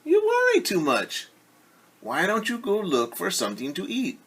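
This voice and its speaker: A man's voice: sits high, wildly swooping pitch, moderate pace, a bit bright, husky, pitched above the performer's own voice, full character voice. A man voicing an easygoing, sceptical male duck in a storybook, questioning and reassuring a friend. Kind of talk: storybook character voice.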